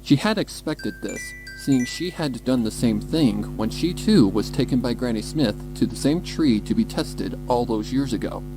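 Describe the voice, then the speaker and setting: A man narrating a scripted dark story in a measured, dramatic way. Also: mid-range voice, expressive, rough recording. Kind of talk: scripted story narration, horror fan fiction. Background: background music.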